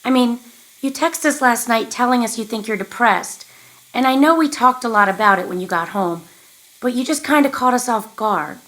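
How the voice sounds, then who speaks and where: Gentle, encouraging mom for podcast drama, played by a woman: higher register, careful and concerned. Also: normal speed, natural voice, rough recording. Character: concerned, supportive mother of a teenage son. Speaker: actress in a scripted podcast drama. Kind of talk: naturalistic audio drama acting.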